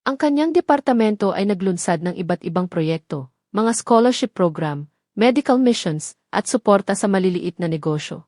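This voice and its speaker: A woman's voice: medium pitch, animated, moderate pace, a little mellow, no echo, studio quality. A woman with a Filipino accent reads a scripted dramatic story as narrator. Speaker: narrator of audio drama stories.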